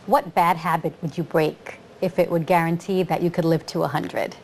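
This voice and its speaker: Lower-voiced woman asking brief questions. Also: fairly even intonation, moderate pace, darkish tone, a little hiss or hum. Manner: concise, short backchannels. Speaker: TV interviewer.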